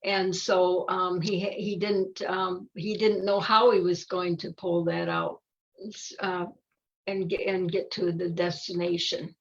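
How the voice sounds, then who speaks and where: An older woman chatting casually about a children's book, haltingly, often searching for her words. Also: lowish voice, flat delivery, vocal fry, muffled recording. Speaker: volunteer storybook reader.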